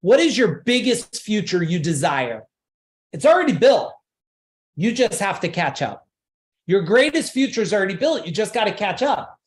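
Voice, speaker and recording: A man's voice: sits high, sing-song, moderate pace, reverberant. A man coaching a live online seminar, pushing emphatic rhetorical questions and repeating his points. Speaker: business coach.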